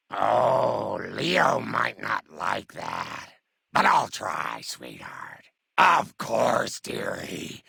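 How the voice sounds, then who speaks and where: An actor voicing a kindly, muddled old super mutant grandmother in a video game, with a gravelly, growling rasp and doting but menacing manner. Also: medium pitch, pushed and bright, dry recording, heavily acted. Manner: doting endearments, slow crooning delivery. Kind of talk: video game character dialogue.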